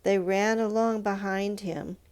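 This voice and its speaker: A woman reading a children's picture book aloud in a measured, expressive storytelling manner, with pauses. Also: medium pitch, clean tone. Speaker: storybook reader.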